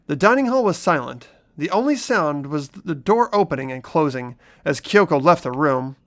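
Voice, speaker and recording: A man's voice: on the high side, animated, normal speed, a bit bright. A man playing an earnest, anxious, self-doubting teenage boy who narrates and speaks in a fan-voiced game. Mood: subdued narration. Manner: questioning, earnest.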